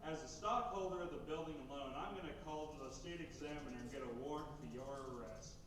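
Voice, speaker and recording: A man's voice: fairly high pitch, quick pace, lightly acted. Man doing a cruel old banker, sneering, menacing, live radio play, far-off echoey stage. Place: theater stage.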